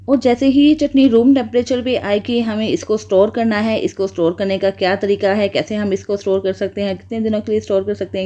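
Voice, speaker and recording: A woman gives a patient home cooking tutorial. She repeats each step carefully. Her voice is mellow and relaxed, and the recording sounds a little dull. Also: mid-range voice. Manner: patient, repetitive step-by-step instruction. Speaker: cooking channel host.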